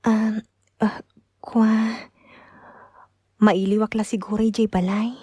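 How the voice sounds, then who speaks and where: A woman's voice: mid-range voice, flat delivery, slow pace, dark tone, husky, no echo, clean studio sound. A woman playing a meek, loving young wife in a radio drama, hesitant and devoted, with an Ilocano accent. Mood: tender, devoted.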